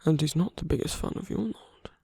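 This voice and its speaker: Male actor as suave villain for ASMR romance: very husky and breathy, gravelly fry, intimate, teasing, contemptuous. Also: a little high, mellow, no room sound. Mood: bitter, conspiratorial. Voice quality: breathy, husky, often near whisper. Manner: slow, deliberate, intimate, teasing.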